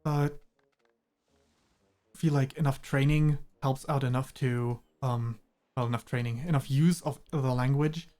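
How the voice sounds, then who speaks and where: A man's voice: medium pitch, fairly even intonation, a little slow, pauses often, dark tone. A young man from Germany, a native German speaker with a slight British-American mix in his English, chatting casually and hesitantly while streaming a game. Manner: rambling, hesitant, laughs often. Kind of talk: casual gameplay chat.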